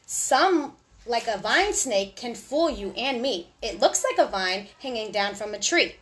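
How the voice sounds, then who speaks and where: A woman storyteller reading a rhyming picture book aloud to young children in an animated, sing-song way. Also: a little high, unhurried.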